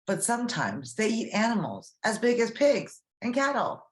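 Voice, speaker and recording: A woman's voice: on the high side, big pitch swings, moderate pace, pitched above the performer's own voice. A woman reading the part of a friendly storybook snake who explains things simply to children. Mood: friendly, chatty. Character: friendly cartoon snake, former video game star. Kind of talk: children's read-aloud storybook.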